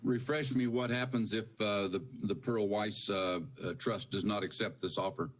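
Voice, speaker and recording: A man serving as county commissioner asking halting questions at a meeting, with many fillers. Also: fairly low pitch, steady tone.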